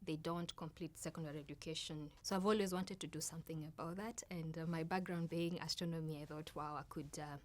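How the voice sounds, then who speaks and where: A woman with a Kenyan accent explaining her work in an interview, halting and deliberate with pauses. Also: sits low, fairly even intonation, darkish tone. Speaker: astronomer and outreach project leader. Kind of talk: interview talk about own work.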